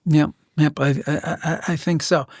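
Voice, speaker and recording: A man's voice: fairly high pitch, little pitch movement, normal speed, no room sound, studio quality. A man hosting a podcast, talking in a conversational interview style. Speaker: podcast host.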